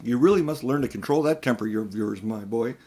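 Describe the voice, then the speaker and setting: A man's voice: fairly quick, mellow, slightly rough. A man voicing a haughty, manipulative older uncle who is a magician in a children's audiobook, speaking in a condescending, smug way. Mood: cool, instructive. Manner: high and mighty, condescending, coolly smug. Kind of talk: children's audiobook character reading.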